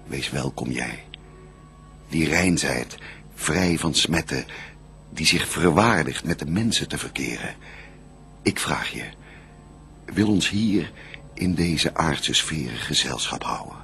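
The first man on earth, a devout bridegroom in a radio verse drama. His very low, creaky voice declaims formally and reverently, with music behind it. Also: animated, slow pace, long pauses, fairly bright tone, exaggerated voice. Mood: reverent, welcoming.

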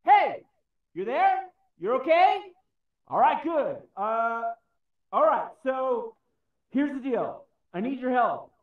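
Anxious everyman, high-pitched and echoey. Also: big pitch swings, slow, exaggerated voice. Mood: urgent, pleading. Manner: rambling, hesitant, earnest. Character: anxious, pleading, well-meaning everyman on a mission. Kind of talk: VR game radio-drama acting. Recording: echoey, distant, muffled.

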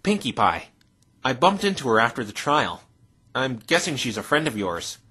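A man voicing an earnest, bemused defense lawyer in a fan dub, asking measured questions with dry puzzlement. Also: medium pitch, big pitch swings, sharp tone, husky, heavily acted. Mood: hesitant. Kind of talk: fan dub voice acting of a visual novel. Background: music.

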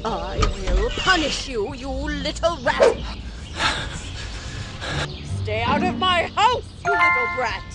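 High-pitched scolding cartoon villainess. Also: female voice, animated, slow, long pauses. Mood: angry, threatening. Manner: theatrical, scolding, dramatic. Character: vain, menacing woman teacher, scolding cartoon villain. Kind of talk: exaggerated mobile game voice acting. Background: game music.

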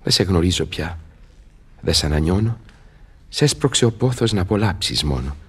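A tormented scholar in love in a radio play. His voice is very low and husky, and he declaims verse with solemn passion. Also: expressive, full character voice. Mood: anguished. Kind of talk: theatrical radio drama verse acting.

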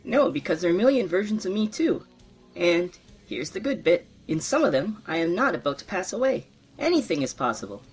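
An eager, argumentative old male philosopher on his deathbed. The voice jumps high and swoops all over as he presses his point, with faint music underneath. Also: very animated, fairly quick, husky, exaggerated voice. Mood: excited.

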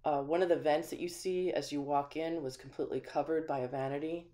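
A woman with a low voice gives a friendly home tour, talking casually and often pausing with ums. She walks through a small empty house, the camera held in hand. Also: steady tone, mellow. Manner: friendly, chatty, frequent ums. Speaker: manufactured home community manager. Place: empty small house, indoors, then voice-over.

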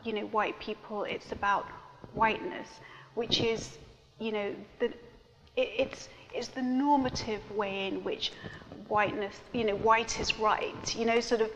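A woman's voice: medium pitch, fairly even intonation, measured pace, a bit bright, in a large hall, muffled recording. Hesitant woman panelist, full of fillers. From Pakistani heritage. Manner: hesitant, many fillers and restarts. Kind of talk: panel discussion.

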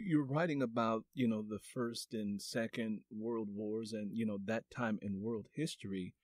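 A man's voice: mid-range voice, a little mellow, no room sound. A man hosting a book podcast, chatting in a friendly, rambling way with fillers and restarts. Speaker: podcast host. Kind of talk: casual interview chat.

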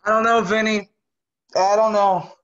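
A young man voicing a weary, disillusioned male investor, with quiet, resigned delivery at a table read over a video call. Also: high-pitched, level tone, moderate pace. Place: small home room. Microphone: webcam on a video call. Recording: slightly muffled webcam audio.

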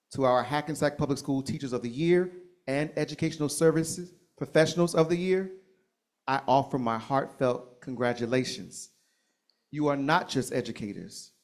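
Man giving a formal ceremonial speech. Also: medium pitch. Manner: measured, ceremonious, emphatic delivery. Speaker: school district speaker. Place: board meeting room.

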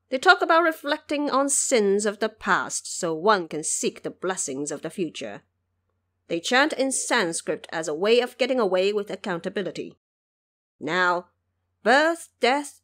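A woman's voice: mid-range voice, fairly bright tone. Woman reading as a stern, formal court historian.

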